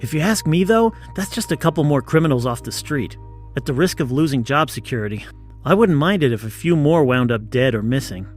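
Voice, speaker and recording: A man's voice: medium pitch, lively intonation, a little fast, soft background music, natural voice. A man playing a detective's concerned, chatty assistant in an audio drama, conversational and inquisitive. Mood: frank, curious. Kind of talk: scripted audio drama dialogue.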